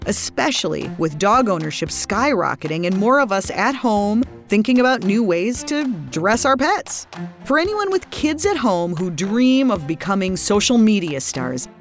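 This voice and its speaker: A woman hosting a business podcast, reading a scripted intro and then interviewing guests in a studio with a bright, easy manner. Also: mid-range voice. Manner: bright, lively, laughs easily. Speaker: podcast host and magazine editor.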